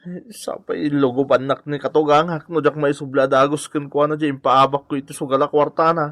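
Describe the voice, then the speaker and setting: An actor playing a whining, hapless gambling husband in a comedy radio drama, groaning and pleading. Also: male voice, a little high, fairly even intonation, a little fast, a bit bright, no echo, studio quality.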